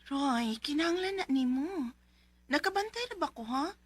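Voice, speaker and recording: An actress with a Filipino Bisaya accent playing a caring but nagging young wife in a radio drama. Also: female voice, high voice, expressive, moderate pace. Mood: nagging, concerned. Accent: Filipino, Cebuano Bisaya.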